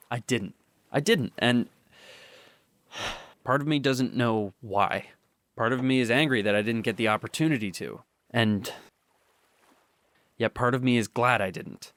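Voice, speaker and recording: A young man acting a guilt-torn boyfriend in an audio drama, pleading his faithfulness in a halting, insistent way. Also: medium pitch, a bit bright, no room sound, natural voice. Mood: conflicted. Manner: halting, repetitive, insistent confession.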